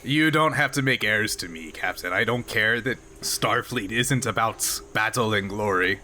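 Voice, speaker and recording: A man's voice: on the low side, moderate pace, sharp tone, slight background noise. A man voicing a jolly, proud older Klingon mine boss in a tabletop game, speaking in a blustery, emphatic way. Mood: amused, frank.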